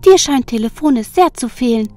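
A man voicing a cheerful, kind sun goddess who speaks gently and with compassion, music behind. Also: very high voice, expressive, a little fast, pitched above the performer's own voice. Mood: compassionate.

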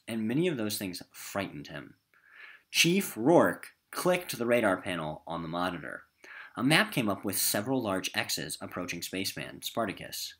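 A man's voice: sits low, fairly bright tone, breathy edge. A man with an American accent teaching a recorded literature lesson in an emphatic, informal classroom style.

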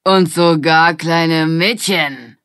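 A woman voicing a rude, drunken junkyard man in a children's audiobook, with a rough, threatening manner. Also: sits low, fairly even intonation, very slow, fairly bright tone, no echo, studio quality, heavily acted. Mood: gruff, boastful.

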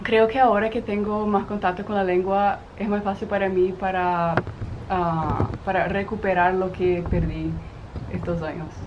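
A teenage girl with a southern Brazilian accent, talking in a relaxed, slightly hesitant way to the camera outdoors in a garden. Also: mid-range voice, normal speed, lo-fi sound, noisy background. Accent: southern Brazilian Portuguese. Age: teens. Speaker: host's daughter. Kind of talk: casual talk to camera.

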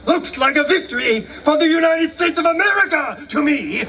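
A man's voice: extremely high pitch, lively intonation, moderate pace, sharp tone, highs cut off, heavily acted. A man playing a proud, folksy, scornful American soldier in a live historical battle dramatization. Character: proud, folksy American soldier boasting about his army. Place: outdoor stone amphitheater, far camera. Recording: distant outdoor recording with noise.

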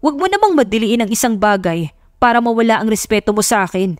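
A woman's voice: a little high, lively intonation, fast talker, no echo, clean studio sound, soft background music, natural voice. A woman voicing a hurt, rejected husband in an audio love story, pleading and emotional. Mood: wounded, pleading.

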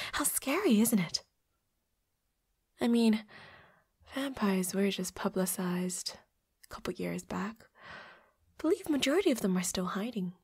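A woman's voice: medium pitch, a little mellow. Woman acting a coy, flirty vampire roommate. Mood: coy, secretive. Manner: coy, giggly, teasing, drops into breathy whispers. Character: flirty, teasing female vampire roommate, adult, playfully predatory. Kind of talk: intimate audio roleplay voice acting.

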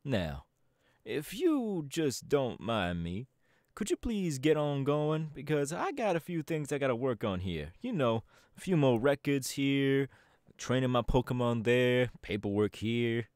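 A man's voice: medium pitch, sing-song, a little slow, a little mellow, no echo, clean studio sound. A man voice acting a laid-back young male DJ gang boss in an ASMR audio roleplay, talking in an easygoing, chatty drawl. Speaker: voice actor.

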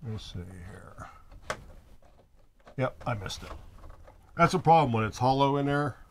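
A man's voice: mid-range voice, expressive, a little slow, a little hiss or hum. A man in his fifties, an RV owner, talking casually as he works through a repair step by step, thinking aloud as he goes. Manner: plainspoken, thinks aloud while working with his hands. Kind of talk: casual how-to narration. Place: small bedroom in an RV.

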